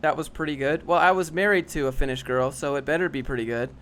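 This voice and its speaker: A man's voice: fairly high pitch, quick pace, fairly bright tone. A young man casually reading and answering chat on a livestream, dry and sarcastic, often rambling.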